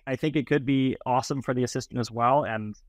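A middle-pitched man of about forty talks as an interview guest. He explains in a conversational way, and the recording is dry and free of room echo. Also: fairly even intonation, quick pace. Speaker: startup founder, interview guest. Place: indoors on a video call, plain room.

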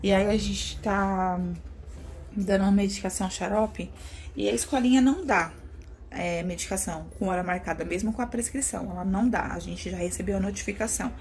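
A young Brazilian woman chats to her phone in a casual vlog style. Her voice sits at an ordinary pitch, and she talks to viewers familiarly with pet names. The home recording has clear background noise. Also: fairly quick, darkish tone. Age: young adult. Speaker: vlogger. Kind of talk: casual chat to camera. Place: small rooms at home, indoors. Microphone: phone at arm's length. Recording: noisy handheld home recording.

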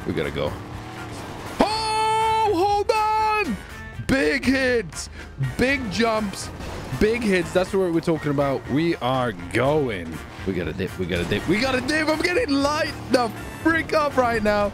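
A man hosting a gaming video, giving excitable roleplay gameplay commentary, talking to himself, swearing and breaking into shouts. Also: very high-pitched, takes it slowly, over music. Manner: excitable, swearing, shouting outbursts, self-talk.

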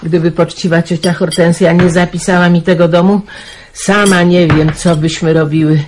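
An actress playing a weary, kindly middle-aged aunt in a wartime radio drama, sighing and resigned. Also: female voice, lowish voice, level tone, moderate pace, noisy background, close to an everyday voice. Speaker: aunt raising her niece.

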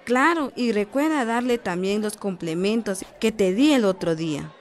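An actress playing a friendly, assured village health adviser in a radio soap, explaining patiently with a Guatemalan accent. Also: female voice, medium pitch, lively intonation, moderate pace, soft background music, natural voice. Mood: explaining, encouraging. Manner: instructive, patient, encouraging. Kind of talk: radio soap opera acting.

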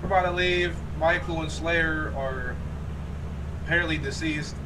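A man with a slightly high voice talks over game voice chat in a dry, mock radio-operator way. A clear layer of noise runs behind him. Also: steady tone, moderate pace. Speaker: gamer in group voice chat. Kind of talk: casual gaming chat.

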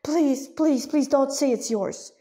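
A woman's voice: high-pitched, slow pace, warm tone, voice pushed up. A woman voicing an anxious, devoted young man in a story, stammering and pleading.

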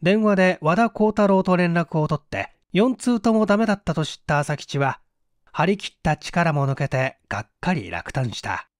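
A man's voice: on the high side, expressive, normal speed, no room sound, clean studio sound. A man reading mystery fiction aloud as a dramatic scripted narration. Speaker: audiobook reader.

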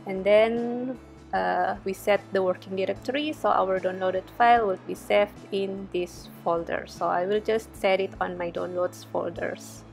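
Slow, chatty young woman from Indonesia. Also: medium pitch, fairly even intonation, vocal fry, faint music behind. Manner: slow, chatty, many fillers. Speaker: research fellow teaching data analysis. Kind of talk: screen-recorded coding tutorial.

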